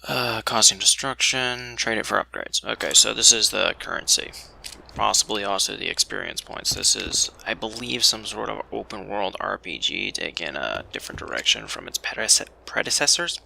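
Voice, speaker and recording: A man's voice: fairly low pitch, normal speed, vocal fry, sharp tone, poor audio. A man giving casual, rambling commentary as he records a video game let's play. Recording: faint background noise.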